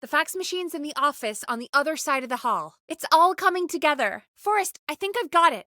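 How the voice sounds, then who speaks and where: Radio call screener, high-pitched, animated swings, earnest, excitable, conversational game dialogue. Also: female voice, a little fast, no room sound, studio quality. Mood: excited, hopeful. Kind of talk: video game voice acting.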